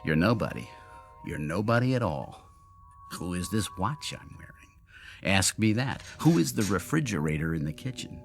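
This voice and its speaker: Sardonic drunken inventor, theatrically animated. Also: male voice, sits low, moderate pace, creaky, soft background music, heavily acted. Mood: bleak, taunting. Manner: wry, theatrical, world-weary. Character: drunken, sardonic genius inventor, bitterly self-mocking. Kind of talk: radio drama acting.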